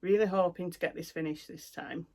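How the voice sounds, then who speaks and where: A woman's voice: fairly low pitch, steady tone, fairly quick, darkish tone. A middle-aged woman with a broad Lancashire accent, chatting casually about her cross-stitch projects, with lots of ums and asides. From North West England. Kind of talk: casual show-and-tell chat.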